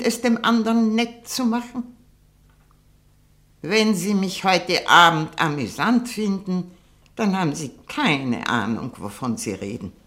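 A woman playing a sharp-tongued, haughty old aunt, frail but proud, in a 1960 German radio play. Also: on the low side. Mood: mocking, proud. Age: older. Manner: haughty, mocking, deliberate.

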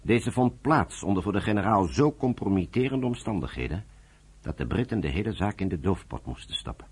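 A man's voice: sits low, lively intonation, brisk, a little mellow, husky. A man reading scripted narration for a Dutch radio play.

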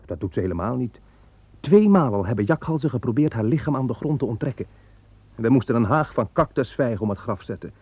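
A man's voice: medium pitch, expressive, fairly quick, slightly rough, no echo. Seth, a man playing a blunt weary farmer. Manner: blunt, plainspoken, grumbling. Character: Seth, weary hardworking son of Adam. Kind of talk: radio play acting. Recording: tinny old radio play recording with faint hiss.

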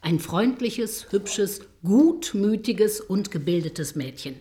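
A woman playing a gentle, affectionate married sister with a soft voice, coaxing in a German radio play. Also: medium pitch, lively intonation, takes it slowly. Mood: fond, coaxing. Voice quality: mellow, soft.

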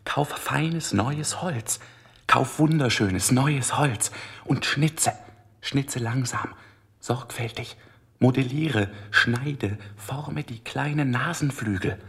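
An actor voicing a sly, insistent ventriloquist's dummy in a German radio crime drama, raspy and half-whispered, coaxing in slow repeated commands. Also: mid-range voice, a bit bright, exaggerated voice. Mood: insistent, urging.

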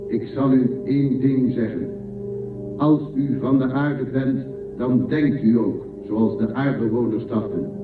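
A man's voice: medium pitch, fairly even intonation, lightly acted. Man playing a formal, echoey machine guardian. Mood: impassive. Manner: formal, measured, matter-of-fact. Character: programmed machine guardian of an ancient library. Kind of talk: science fiction radio drama acting. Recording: echoey, distant, narrow-band, music behind.

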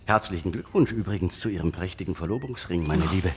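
An actor playing a bookish, wry hospital patient in a radio play, explaining things with dry opinions. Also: male voice, deep voice, expressive, quick pace, slight background noise. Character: bookish, wry male hospital patient, science fiction enthusiast.

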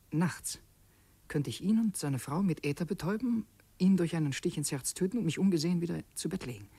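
A brooding, secretive assassin in a radio play. His voice sits fairly high and is husky and breathy, caught dry and close. He speaks in intense, introspective monologue, questioning himself. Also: darkish tone, dry recording. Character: brooding young male conspirator and would-be assassin, torn and secretive. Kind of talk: radio drama monologue, confessional narration.

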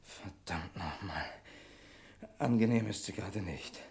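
An actor playing Maurice, a gruff, loyal, protective friend, in an urgent, coaxing way in a German radio crime drama. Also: male voice, sits low, a little slow, darkish tone. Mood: reassuring, firm.

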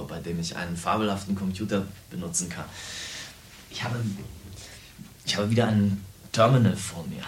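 Actor as low-voiced, rambling computer eccentric. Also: male voice, a little hiss or hum, close to an everyday voice. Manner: rambling, confiding, hesitant repetitions. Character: eccentric, lonely computer cyberneticist and psychiatric patient, talkative man. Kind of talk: naturalistic radio drama dialogue.

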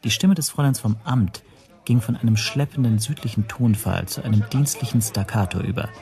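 Actor as low-voiced, tense radio-play narrator. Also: male voice, a little fast, warm tone, lightly acted. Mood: brooding. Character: tense, self-doubting male refugee telling his own story. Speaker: first-person narrator.

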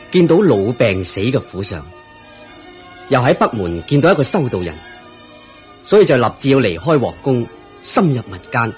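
A man reading scripted narration for a radio drama. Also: medium pitch.

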